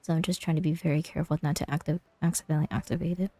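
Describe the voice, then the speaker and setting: A woman's voice: low-pitched, steady tone, very fast, mellow. A woman game streamer giving casual gameplay commentary, thinking aloud as she goes. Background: faint game music.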